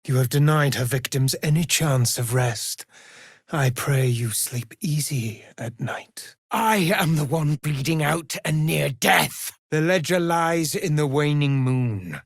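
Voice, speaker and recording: Slow, gravelly, brooding avenger. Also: male voice, a little high, dry recording, clean studio sound, exaggerated voice. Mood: cold, accusing. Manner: grave, measured, theatrical formal diction. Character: mysterious, brooding male avenger who hunts and torments murderers. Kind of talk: dramatic video game voice acting.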